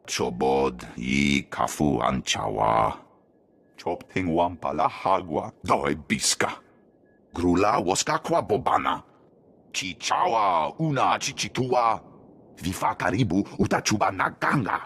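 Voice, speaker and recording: Deep, husky alien elder. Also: male voice, lively intonation, unhurried, fairly bright tone, heavily acted. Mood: grave, instructive. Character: deep-voiced male alien elder speaking an invented tongue. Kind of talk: video game voice acting.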